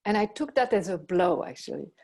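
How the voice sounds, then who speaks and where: A middle-aged woman from Belgium with a Belgian French accent, giving a talk as a professor and telling personal anecdotes in a measured, reflective way with pauses and self-corrections. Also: medium pitch, lively intonation, creaky, darkish tone.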